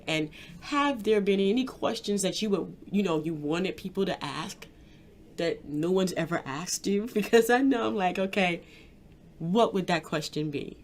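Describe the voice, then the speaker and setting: Friendly, affirming interview host with a stuffed-up nose, medium pitch. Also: slight background noise. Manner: friendly, affirming, quick to laugh. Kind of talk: casual interview chat.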